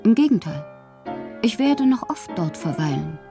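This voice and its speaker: An actress in a radio drama playing a lonely, whimsical bohemian woman writer, intimate and wistful, with a husky, breathy voice that often drops to a whisper. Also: medium pitch, very animated, normal speed, over music. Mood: weary, wry. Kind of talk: literary radio monologue.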